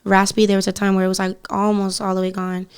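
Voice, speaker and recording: A young woman from Puerto Rico, a singer and podcast guest, talking candidly in a relaxed, slangy way. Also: medium pitch, fast, clear, resonant tone. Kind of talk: casual interview chat.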